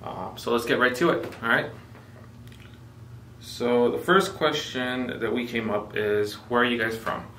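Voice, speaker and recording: A man in his twenties from Mexico, co-hosting a casual vlog chat, relaxed and full of fillers. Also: medium pitch, unhurried, echoey room, far from the mic, a little hiss or hum. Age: 20s. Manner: relaxed, filler-heavy, joking. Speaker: vlogger co-host.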